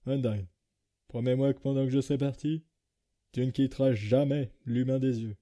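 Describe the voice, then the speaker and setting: A man's voice: mid-range voice, steady tone, normal speed, warm tone, natural voice. A man voicing a laid-back, reluctant skeleton in a comic dub, talking in a laconic, casual way. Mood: serious.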